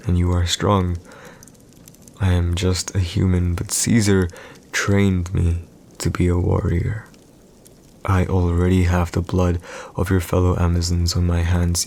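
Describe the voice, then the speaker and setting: A man playing a proud, calculating villain emperor in an audio roleplay, speaking in a measured, commanding way with deliberate pauses. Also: sits low, monotone, gravelly, darkish tone, slight background noise. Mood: cold, menacing. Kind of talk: scripted boyfriend audio roleplay, slow dramatic monologue.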